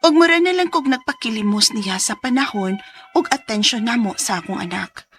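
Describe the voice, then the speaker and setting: A woman's voice: a little high, lively intonation, moderate pace, soft background music, lightly acted. A woman playing a young single mother who earnestly tells her story in a radio drama, with a Cebuano accent. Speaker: letter sender narrating her story.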